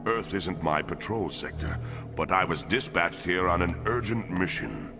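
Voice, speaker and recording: An actor playing a courteous, solemn big alien lawman in a game cutscene, speaking in formal, measured phrases. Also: deep voice, lively intonation, over music. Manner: formal, measured, deliberate wording. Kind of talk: animated game cutscene acting.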